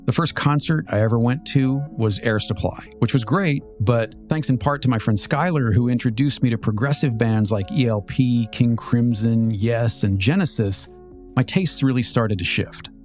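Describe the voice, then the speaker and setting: A man in his fifties with an American accent, telling a scripted personal story as a podcast host in a measured, reflective, articulate way. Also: medium pitch, a little mellow. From Southern California, United States. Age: 50s. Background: music.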